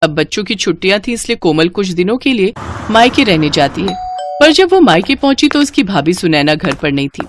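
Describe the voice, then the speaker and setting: A woman narrating a family cartoon story in a steady, matter-of-fact way. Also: medium pitch, a little fast, slight background noise.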